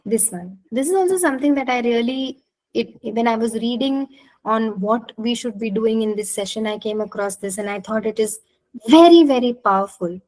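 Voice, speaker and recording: Woman, Indian accent, native Marathi, fairly high pitch, conversational teaching, encouraging, reflective, online session. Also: moderate pace, a little mellow, poor audio. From Maharashtra, India. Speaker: speaker leading an interactive talk, clinical psychologist. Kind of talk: conversational online talk with slides and questions to participants. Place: online video call.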